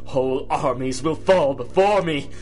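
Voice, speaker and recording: An actor playing a power-mad, grandiose supervillain in a theatrical radio drama style. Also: male voice, a little high, unhurried, slight background noise, natural voice. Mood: gloating, triumphant. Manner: theatrical, gloating, declamatory. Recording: loud music behind the voice.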